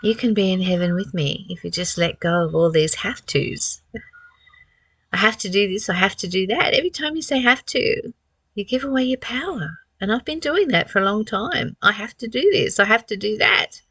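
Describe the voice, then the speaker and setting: A woman's voice: medium pitch, lively intonation, moderate pace, fairly bright tone, breathy edge, no room sound. A woman giving a spiritual talk as a teacher, reading and reflecting with rhetorical questions.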